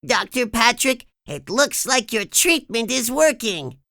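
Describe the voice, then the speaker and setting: Woman doing a squeaky sniffly sea sponge boy. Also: sing-song, unhurried, fairly bright tone, no echo, clean studio sound, exaggerated voice. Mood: sick, pleading. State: sniffly with a cold. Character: cheerful young male sea sponge, eager. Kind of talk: storybook read-aloud.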